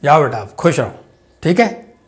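Guy doing a middle-aged politician dad, slightly high voice, hearty then quiet and cold, dramatic monologue. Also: big pitch swings, normal speed. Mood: soothing. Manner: booming and jovial with party workers, dropping to a hushed, cold undertone with family.

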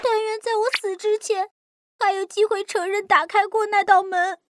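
Cartoon storybook: woman doing timid girl, very high, stammers. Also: little pitch movement, moderate pace, fairly bright tone, a little hiss or hum. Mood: regretful. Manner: stammering, frightened. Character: timid fibbing young girl, later a queen.

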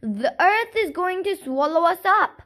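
A woman's voice: sits high, expressive, a little slow, a little mellow. A woman voicing a silly male chicken in a storybook, calling out alarms and smugly lecturing people. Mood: alarmed.

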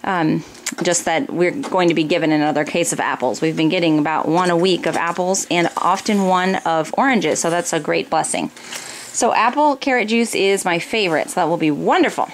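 A woman's voice: lowish voice, moderate pace. An American woman in her thirties chatting informally as she explains household items one by one for a casual home vlog. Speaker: family vlogger, mother. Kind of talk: casual grocery haul narration. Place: indoors in a home kitchen or dining room. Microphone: handheld camera close by. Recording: noisy home recording with room ambience.

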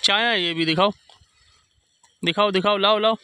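Bright, high-voiced man vlogging on a beach. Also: fairly even intonation, moderate pace, clear, resonant tone. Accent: Karachi Urdu. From Pakistan. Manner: chatty, keeps addressing friends, frequent pious interjections. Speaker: fishing vlogger. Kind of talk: casual outdoor vlog narration. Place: night beach campsite by a fire, outdoors.

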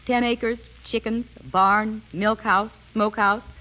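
A woman's voice: medium pitch, steady tone, slow. A young woman answering as a guest in a scripted 1930s radio interview. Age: young adult. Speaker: interview guest, personal business manager. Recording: dull old 1930s radio recording.

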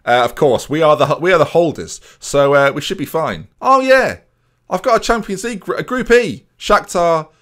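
A man's voice: fairly high pitch, moderate pace, fairly bright tone. A young man commentating on a football management game from a small room at home, with a headset mic, chatty and jokey. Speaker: gaming streamer.

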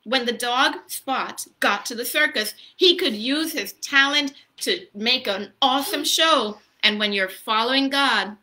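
A woman hosts a children's story time in casual, simple language. Her voice is strikingly bright and sits a little high. She explains things plainly and often turns to the children with questions. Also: measured pace, hardly any pauses. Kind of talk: casual, child-directed teaching chat. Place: small room at home. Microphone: laptop or phone at arm's length.

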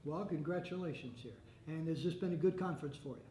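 An older man hosting a radio interview at a hotel, asking questions in a halting way with many restarts. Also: on the high side, warm tone. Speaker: radio host. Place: hotel meeting room by windows. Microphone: desk microphone, headphones. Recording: roomy with faint ambient noise.

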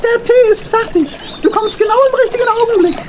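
Tiny, very high-pitched hedgehog fellow. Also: female voice, brisk, heavy background noise. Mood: delighted. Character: small male hedgehog, naive, trusting, earnest wanderer. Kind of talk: German children's audio drama acting.

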